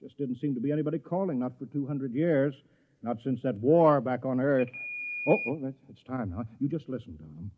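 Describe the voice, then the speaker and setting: An actor playing a fussy, anxious small-town colony mayor in a 1950s radio drama, flustered and earnest with a folksy manner. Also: male voice, mid-range voice, expressive, normal speed, natural voice. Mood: coaxing. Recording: dull, muffled vintage radio recording.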